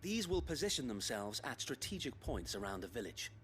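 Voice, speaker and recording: An actor playing an earnest, resolute knight commander, speaking formally and precisely in a dramatic fantasy game. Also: male voice, mid-range voice, very animated, brisk, fairly bright tone. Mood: resolute, measured. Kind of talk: dramatic video game acting.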